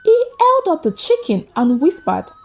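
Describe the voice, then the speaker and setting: A woman narrating a scripted folktale as a storyteller, with suspenseful, deliberate phrasing. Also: very high voice, huge pitch swings. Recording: music behind the voice. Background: background music.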